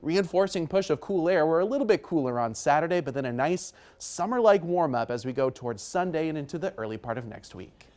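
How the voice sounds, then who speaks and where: A man's voice: on the high side, expressive, brisk, hardly any pauses, darkish tone. A man presenting an ad-libbed TV weather forecast in a conversational, informal way.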